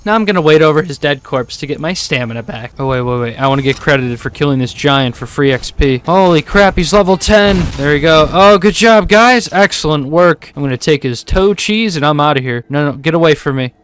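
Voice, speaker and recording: A man's voice: a little high, fast talker, hardly any pauses, fairly bright tone, smooth, dry recording. A young man giving irreverent, sweary comedic game commentary into a close desktop mic, reacting aloud. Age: young adult. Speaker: gaming YouTuber. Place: small room at home. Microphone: desktop microphone up close.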